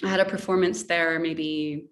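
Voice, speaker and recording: A woman's voice: low voice, steady tone, normal speed, recorded from a distance. A woman author from Russia chatting effusively in an interview over a video call, rambling and circling back mid-sentence. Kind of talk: casual interview chat.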